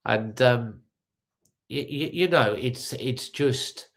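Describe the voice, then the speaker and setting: A man's voice: medium pitch, slow. A middle-aged man with a stuffy nose from a cold, hosting a casual fan-channel live update with many ums and restarts.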